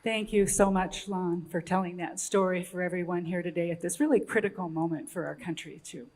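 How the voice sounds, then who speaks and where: A woman's voice: fairly low pitch, steady tone, fairly quick, a little mellow, a little hiss or hum. A woman moderating a panel, thanking a speaker and posing questions to the next in a gracious manner. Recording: long hall reverb.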